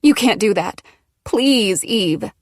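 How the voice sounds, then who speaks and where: A woman's voice: high-pitched, animated, normal speed, a bit bright, no echo, clean studio sound, pitched above the performer's own voice. A woman reading an audiobook, voicing a frightened, defensive fifteen-year-old girl who pleads with her friend. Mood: pleading. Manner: emotional, shaky pleading.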